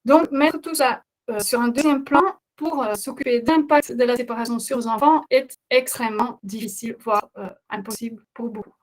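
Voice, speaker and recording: A woman's voice: fairly high pitch, moderate pace, few pauses, rough recording. Earnest woman with a non-native accent. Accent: non-native speaker of French. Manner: hesitant, many filler sounds, earnest. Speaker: parenting coach hosting a webinar. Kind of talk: webinar presentation.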